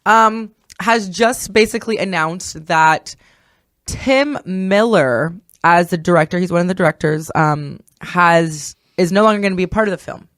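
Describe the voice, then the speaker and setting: A woman hosting a podcast in a casual, halting, self-interrupting way. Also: lowish voice, no room sound, studio quality. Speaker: podcast host. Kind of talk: casual entertainment discussion.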